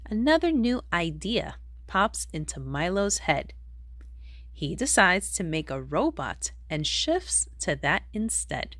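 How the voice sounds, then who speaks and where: A woman narrates a rhyming picture book for young children. Her delivery is unhurried and expressive, with pitch that swings widely, and the recording is dry with very little room sound. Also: dry recording. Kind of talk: read-aloud storybook narration in rhyme.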